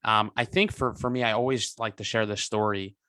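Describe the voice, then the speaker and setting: A man's voice: fairly low pitch, fairly even intonation, a little fast, pushed and bright, clear, resonant tone, no echo, clean studio sound. A man in his twenties, a guest on an interview podcast, talking earnestly over a video call with many restarts. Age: 20s. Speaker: guest entrepreneur.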